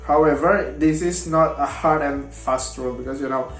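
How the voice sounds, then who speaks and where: A young man, a non-native speaker whose first language is Indonesian, giving a class presentation in a halting way with many fillers and broken grammar. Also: on the high side. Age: young adult. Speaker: university student presenting. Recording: echoey room with faint guitar music.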